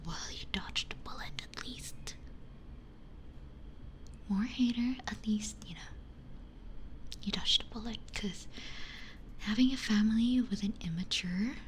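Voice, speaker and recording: A woman whispering breathily and almost without voicing, in a hushed, conversational ASMR livestream style, close to a binaural microphone. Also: mid-range voice, a little hiss or hum. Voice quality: whispered, breathy, mostly unvoiced. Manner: hushed, unhurried conversational musing with pauses. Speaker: ASMR streamer, host. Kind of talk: ASMR, chatting with live chat.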